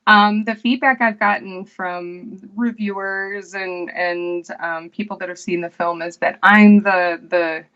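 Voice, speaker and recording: A woman, an actress, chatting casually as an interview guest, unhurried and full of fillers and restarts. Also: medium pitch, steady tone, a bit bright, clear, resonant tone, dull audio.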